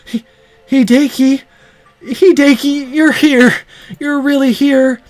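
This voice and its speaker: Man putting on a high, pleading young captive woman. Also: level tone, takes it slowly. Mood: relieved disbelief.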